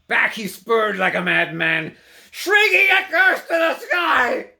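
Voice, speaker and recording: A man giving a theatrical, intense dramatic recitation of poetry, swinging between hushed delivery and full-throated cries. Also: extremely high pitch, takes it slowly, creaky. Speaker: poetry performer.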